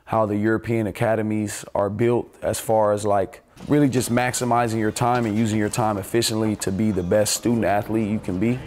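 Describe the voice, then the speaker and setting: A man's voice: on the low side, a little fast, few pauses. A man in his thirties, a basketball coach, talking casually in an interview with many fillers. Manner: relaxed, conversational, many fillers.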